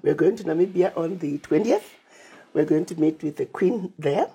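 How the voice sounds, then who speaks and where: A woman's voice: low voice, normal speed, mellow. A woman from Zimbabwe, an interview guest who speaks emphatically, laying out plans as lists and retelling conversations. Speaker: interview guest, Pan-African advocate.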